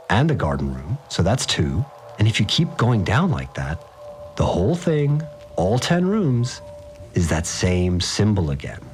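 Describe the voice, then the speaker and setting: A slangy freaked out fixer telling his story, deep voice, really animated, faint music under it. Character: rattled, slangy male fixer recounting events. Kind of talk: first-person audio drama monologue.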